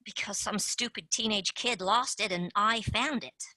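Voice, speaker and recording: A woman playing a pushy, scheming young female tooth fairy agent in a stage play, fast-talking and dropping into conspiratorial whispers. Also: medium pitch, bright, forceful tone, no echo, natural voice, low-quality recording. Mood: breezy. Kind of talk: amateur stage-play acting.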